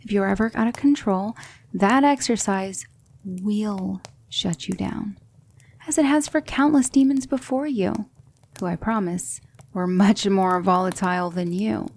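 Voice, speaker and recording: A woman playing a patient, composed demon magic teacher in a soft-spoken ASMR roleplay, measured and reassuring. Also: mid-range voice. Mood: calm, reassuring. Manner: measured, instructive, reassuring, with hushed breathy dips.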